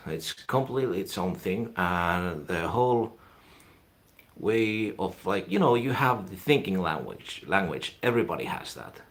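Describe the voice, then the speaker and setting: A man from Finland with a Finnish accent, hosting his own live stream and rambling casually, often trailing off quietly. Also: deep voice. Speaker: YouTube channel host.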